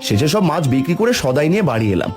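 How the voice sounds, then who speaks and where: A man voicing a fast-talking honest fisherman. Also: on the high side, very animated, soft background music. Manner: warm, worried. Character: honest hardworking poor fisherman. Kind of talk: cartoon voice acting.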